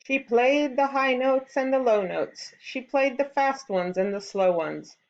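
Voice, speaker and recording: A woman reading a folktale aloud to children in an expressive, deliberate storytelling style, on a slightly dull recording. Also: high-pitched. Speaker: storybook reader.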